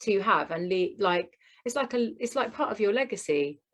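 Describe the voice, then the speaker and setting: A woman's voice: mid-range voice, lively intonation, normal speed. A woman co-hosting a podcast, reacting enthusiastically and repeating words as she affirms the guest. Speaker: podcast co-host. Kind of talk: casual interview chat.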